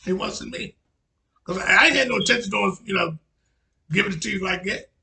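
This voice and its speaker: A raspy man in his forties delivers a meandering religious lecture with a gravelly, creaky edge and a sharp, bright tone. He repeats his points and leans heavily on fillers. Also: sits high, fairly quick. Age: 40s. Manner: repetitive, frequent "you know" fillers, lecturing. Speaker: religious teacher. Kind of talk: rambling religious monologue.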